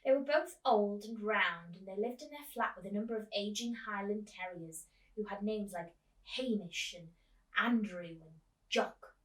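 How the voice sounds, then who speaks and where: A woman doing an expressive storytime read-aloud, speaking to young listeners as a teacher would. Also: mid-range voice, normal speed. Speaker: teacher reading aloud to children. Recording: echoey room, distant mic.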